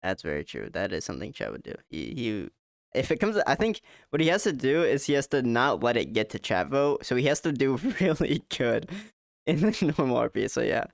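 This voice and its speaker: A man with a gravelly voice co-hosts a casual online interview. His pitch moves around a lot as he chats in a laid-back, slangy way, and the recording sounds dry. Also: sing-song, brisk, dry recording.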